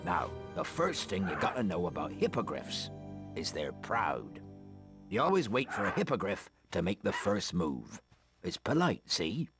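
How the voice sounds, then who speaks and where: An actor playing a big, gentle gamekeeper who teaches about creatures in a video game, folksy and encouraging. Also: male voice, low-pitched, sing-song, measured pace, breathy edge, soft background music, exaggerated voice. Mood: fond, encouraging. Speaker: teacher of a creature-care class. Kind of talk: video game character acting.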